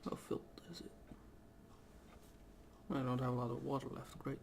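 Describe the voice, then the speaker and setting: A young man talks casually over a game at home into a desk mic, and he rambles and hesitates with plenty of fillers. His throat is irritated, so he keeps clearing it. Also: medium pitch, monotone, normal speed, a little hiss or hum. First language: Dutch. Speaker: gaming YouTuber. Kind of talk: casual let's play commentary. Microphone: desk microphone.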